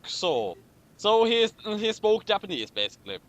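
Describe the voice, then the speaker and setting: A man's voice: sits high, very animated, normal speed. A man hosting a comedy commentary, reading fan fiction aloud with dramatic stress and exclamations of disbelief.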